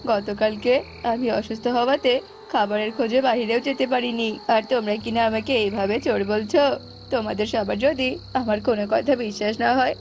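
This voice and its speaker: A woman plays an accused bird in a children's cartoon. The voice is fairly high. It protests in an aggrieved way over faint insect noise. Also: gravelly, darkish tone.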